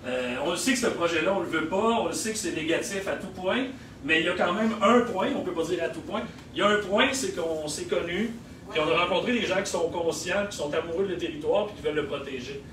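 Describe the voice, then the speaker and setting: A man's voice: high voice, fast, a bit bright. A man with a Québécois accent, an event organizer giving a hesitant speech full of fillers at a local launch. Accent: Québécois French. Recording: echoey room, heard from a distance, noisy.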